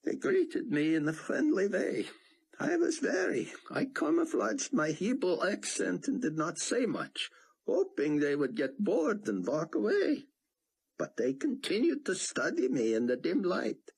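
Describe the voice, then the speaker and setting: A man doing a giddy older rabbi, pitched high. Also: wildly swooping pitch, unhurried, vocal fry, husky, exaggerated voice, poor audio. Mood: giddy storytelling.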